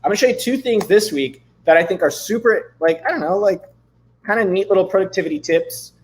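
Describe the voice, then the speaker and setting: Man, thirties, livestream host, slightly higher voice, hoarse, loose rambling talk. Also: animated, fairly quick. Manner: loose and rambling, swears casually. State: losing his voice. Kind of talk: casual livestream chat with screen demos.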